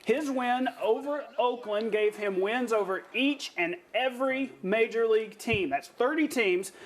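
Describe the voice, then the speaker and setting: A man's voice: high voice, a little hiss or hum. A man presenting a television sportscast in a chatty, casual way. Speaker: sports news anchor.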